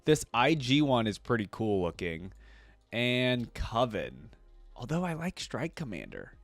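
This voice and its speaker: A man's voice: mid-range voice, sing-song, a little slow, no room sound, soft background music. Casual male reactor, very dry close sound. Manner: informal, thinking aloud. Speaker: reaction video host.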